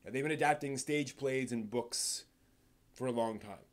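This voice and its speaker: Male live stream host, mid pitch. Conversational commentary, stop-and-start phrasing, frequent restarts, leans on key words and then drops quieter. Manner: rambling, halting, often restarts sentences. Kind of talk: casual live stream commentary on film and anime news.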